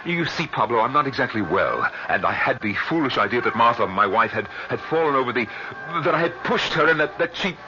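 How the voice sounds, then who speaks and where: Actor as anguished husband, wildly swinging voice. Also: male voice, mid-range voice, big pitch swings, noisy background, lightly acted. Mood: agitated, pleading. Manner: halting, stammering, melodramatic. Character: anguished husband narrating his unravelling sanity, dazed and frantic. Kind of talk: old-time radio drama acting. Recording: muffled old radio broadcast, music underneath.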